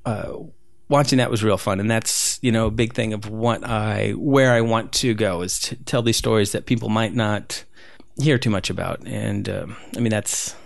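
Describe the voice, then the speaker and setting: A man from Southern California talks loosely and at length, circling back with fillers and restarts. His voice sits low with a gravelly fry, recorded close and dry. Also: steady tone, moderate pace, no echo. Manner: rambling, many fillers and restarts. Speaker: street photographer guest. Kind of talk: casual interview conversation.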